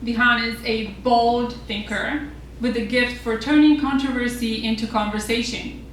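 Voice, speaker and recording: A woman's voice: a little high, steady tone, moderate pace. A woman teacher introducing student speakers at an event, heartfelt and emotional, partly scripted and partly off the cuff. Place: large echoey room, on stage. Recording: echoey hall, distant mic, faint noise.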